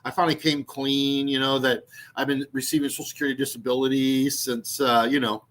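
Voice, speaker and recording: A man's voice: medium pitch, flat delivery, fairly quick, fairly bright tone. A man in his sixties talking casually on his channel, meandering with many fillers and restarts and mocking with laughs. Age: 60s. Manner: mocking, laughs at own jokes. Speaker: YouTube channel host. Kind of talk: rambling casual vlog talk.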